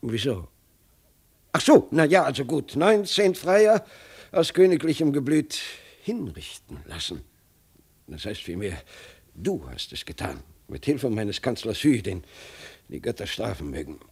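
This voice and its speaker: A doddering old emperor in a vintage radio play, husky and creaky. His pitch swoops up and down as he loses his thread, stammers, repeats himself and tries to evade. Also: big pitch swings, a little slow, full character voice. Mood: hesitant, pleading. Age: older. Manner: absent-minded, stammering, repeating himself, chuckling. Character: doddering old Chinese emperor, flustered, evasive father. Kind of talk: 1950s German radio drama acting.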